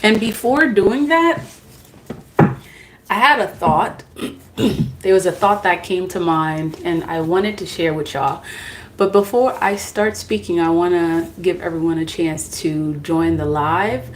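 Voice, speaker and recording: A woman's voice: on the low side, slow, slight background noise. A woman whose first language is not English, casually chatting with viewers in a live stream as a tarot reader, greeting them by name and laughing at herself.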